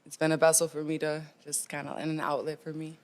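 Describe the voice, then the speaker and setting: A woman with a deep, raspy voice, chatting casually as a podcast guest in a laid-back, joking way. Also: little pitch movement, fast talker. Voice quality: deep raspy voice, often taken for a man's.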